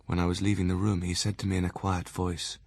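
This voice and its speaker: Period audio drama: a grave, stern doctor, low husky voice, measured diary delivery. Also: male voice, little pitch movement, darkish tone, dry recording. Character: stern male asylum doctor recounting his diary.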